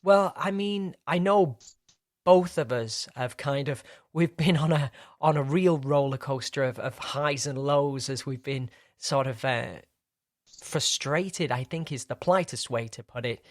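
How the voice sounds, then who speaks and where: A man's voice: medium pitch, a little slow, no room sound, studio quality. A man hosting a casual podcast interview, friendly and chatty, often restarting his phrases. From Britain. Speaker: podcast host.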